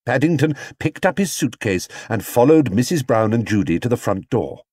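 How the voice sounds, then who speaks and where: A man's voice: mid-range voice, sing-song, normal speed, a little mellow, slightly rough, no echo, clean studio sound. A man reading a scripted children's story as an audiobook narrator.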